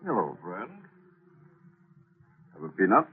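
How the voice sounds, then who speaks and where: A man's voice: sits low, sing-song, slow, breathy edge, full character voice. An actor in an old-time radio thriller playing a sly, gloating gunman who taunts with folksy, mocking friendliness. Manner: folksy, taunting, leisurely menace. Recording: tinny narrow-band old radio recording.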